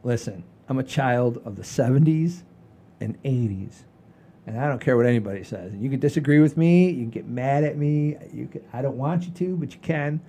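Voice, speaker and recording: Mellow-voiced man in his sixties, casual storyteller. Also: medium pitch, lively intonation, normal speed. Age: 60s. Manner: emphatic, repetitive, profane. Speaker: podcast host. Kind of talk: casual comedic storytelling chat.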